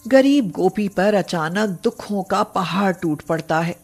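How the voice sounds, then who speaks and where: A woman narrating a scripted story in an expressive, dramatic way. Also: mid-range voice, a little slow, creaky, soft background music.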